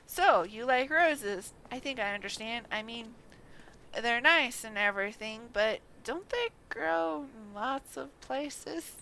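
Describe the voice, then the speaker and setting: An eager young boy from a fantasy game played by a woman, earnest and excitable. The voice is high and bright, brimming with questions and admiration. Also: takes it slowly, creaky, slight background noise, full character voice, rough recording. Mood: curious.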